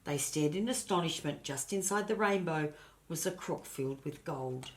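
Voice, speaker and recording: An older woman with a low voice reads a children's story aloud. Her delivery is expressive and grandmotherly, as if showing the book to young listeners. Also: normal speed, noisy background. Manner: grandmotherly, addresses listeners and points out pictures. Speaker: storybook reader.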